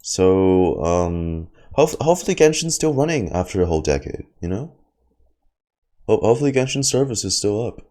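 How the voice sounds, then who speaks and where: A man's voice: fairly low pitch, big pitch swings, normal speed, warm tone. A man in his twenties giving casual streamer reactions to a game, rambling and slangy with some swearing. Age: 20s.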